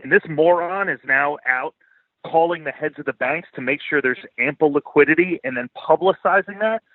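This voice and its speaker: A man with a slightly high voice comes through a thin, dry, phone-like connection. He is a podcast guest giving opinionated commentary. His delivery is blunt and emphatic, coming back to his points for stress. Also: moderate pace, no echo.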